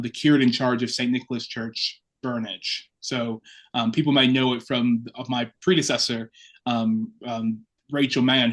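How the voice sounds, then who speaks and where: A man's voice: mid-range voice, a bit bright. A man speaks as a guest on a podcast. He tells his story in an open, reflective way, in the easy manner of casual conversation. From Chicago, United States. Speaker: assistant curate, guest. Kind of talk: casual interview talk.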